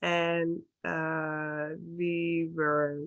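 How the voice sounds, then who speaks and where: A woman speaks slowly and haltingly, reaching for English words with many pauses. Her voice sits a little low for a woman. She talks casually over a video call. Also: level tone, a bit bright, dull audio. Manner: hesitant, searching for words, laughs often. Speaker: co-host, healer. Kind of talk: casual chat.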